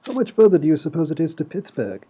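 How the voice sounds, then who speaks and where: A man playing a prim, easily flustered gentleman in a comic radio play, with fussy, old-fashioned polite phrasing. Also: on the high side, big pitch swings, fast, a little hiss or hum. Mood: puzzled, polite. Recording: tinny, phone-like sound, music behind.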